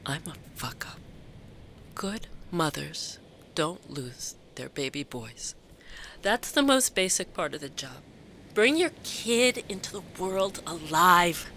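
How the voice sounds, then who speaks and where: A woman's voice: lowish voice, big pitch swings, slow, a little hiss or hum, heavily acted. A woman playing a grieving, self-blaming but determined mother in an audio drama monologue, speaking in a raw, confessional way. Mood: self-reproachful, then anguished. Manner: raw, confessional, swearing, swinging from hushed to intense.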